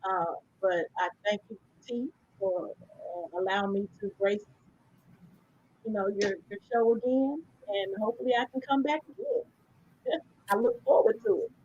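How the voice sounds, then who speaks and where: A woman guest on a podcast, talking casually in a halting way with many fillers as she gives thanks. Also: medium pitch, expressive, darkish tone, muffled recording, a little hiss or hum.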